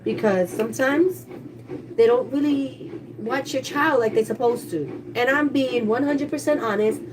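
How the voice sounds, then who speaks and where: A woman's voice: fairly high pitch, recorded from a distance, noisy background. Congested woman chatting on a home livestream. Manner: chatty, candid, talks to viewers. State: congested, stuffy nose. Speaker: livestreaming YouTuber. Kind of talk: casual livestream chat. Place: kitchen at home, household noise.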